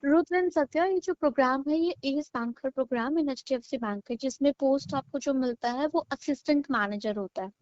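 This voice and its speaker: A woman's voice: sits high, fairly quick, few pauses, a little mellow, dry recording. A woman working as a counsellor, explaining a programme on a recorded phone call and checking that she is understood.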